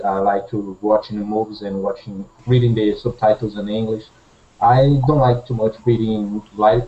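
Give me a young man from Brazil, native Portuguese, speaking earnestly in a deep, dark tone on distant, dull call audio. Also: slight background noise. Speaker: student. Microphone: headphones, webcam video call.

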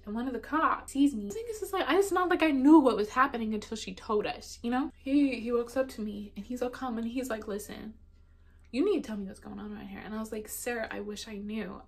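Young woman, high voice, animated storyteller, home kitchen, faint music underneath. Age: young adult. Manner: animated, dramatic storyteller who breaks into song. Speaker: vlogger. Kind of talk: casual storytelling chat. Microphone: phone camera propped on counter.

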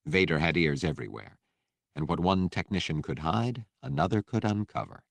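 A man's voice: sits low, expressive, moderate pace, creaky, no room sound. A man reading a novel as scripted audiobook narration.

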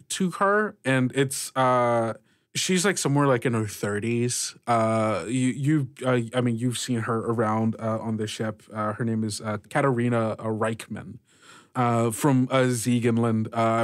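A man narrating a tabletop roleplaying game as game master, improvising in short, halting phrases. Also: mid-range voice, no echo, studio quality.

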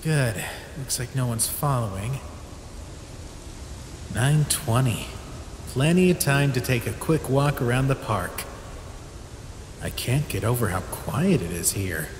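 A man's voice: medium pitch, lively intonation, takes it slowly, pauses often, slight background noise. An actor voicing a skeptical, casual young man in a comic dub. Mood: curious.

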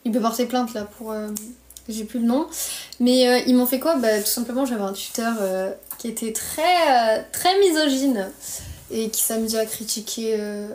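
High-voiced young French woman, roomy sound. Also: moderate pace, darkish tone. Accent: French from France. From France. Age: early 20s. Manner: slangy, blunt, griping. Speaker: live streamer. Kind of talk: casual livestream chat. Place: small bedroom, indoors. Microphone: phone at arm's length. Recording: roomy echo on phone microphone.

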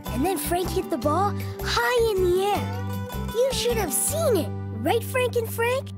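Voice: very high-pitched, sing-song, unhurried, heavily acted. A performer voicing a little boy animal kindergartner in a children's cartoon, with music playing behind. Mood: excited.

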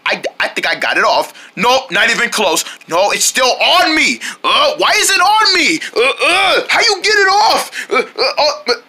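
A performer voicing a clumsy, silly yellow cartoon owl who is folksy, excitable and easily exasperated. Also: medium pitch, moderate pace, few pauses, breathy edge, full character voice. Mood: puzzled. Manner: folksy, excitable, stammering protests. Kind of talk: animated cartoon acting.

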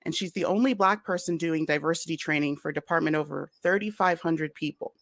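Lowish, creaky-voiced woman speaking firmly. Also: a little fast, a bit bright. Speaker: podcast panelist. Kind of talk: earnest panel discussion. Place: room at home, on a video call.

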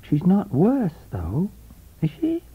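Guy doing sweet young mistress in an audiobook, high whispery voice, knowing fake concern, muffled sound. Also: huge pitch swings, slow pace, slightly rough, exaggerated voice. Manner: insinuating, cooing concern.

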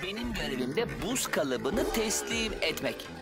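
An actor voicing a dutiful, good-natured delivery vehicle in a children's cartoon dub, with drawn-out, deliberate speech. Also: male voice, sits high, big pitch swings, full character voice. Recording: very loud music behind the voice.

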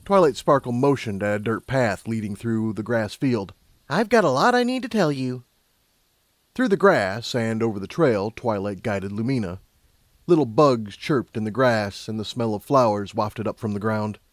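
A man reading scripted fiction aloud as an expressive story narrator. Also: on the low side, normal speed, no room sound, clean studio sound.

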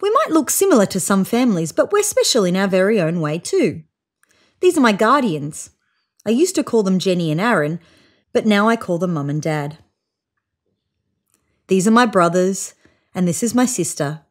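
A woman in her thirties reading a children's picture book aloud in an expressive, child-directed way. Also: mid-range voice, moderate pace, pauses often. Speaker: storybook reader. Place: small room indoors, seated on a couch.

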